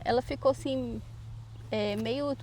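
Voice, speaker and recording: A woman in her thirties with a Brazilian Portuguese accent, chatting casually as a mother and co-host, a little hesitant and quick to laugh. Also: on the high side, a little mellow, a little hiss or hum. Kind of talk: casual chat.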